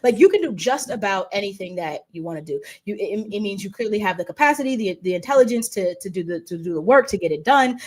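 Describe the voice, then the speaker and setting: A woman's voice: mid-range voice, lively intonation, quick pace, few pauses, darkish tone. A woman hosting a casual interview, chatty and informal, circling back over her phrases as she talks. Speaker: interview host.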